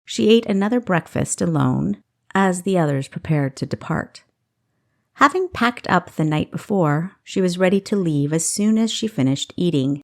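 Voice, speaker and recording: A woman's voice: on the low side, expressive, normal speed. A woman narrating a novel as an audiobook, reading expressively from a script.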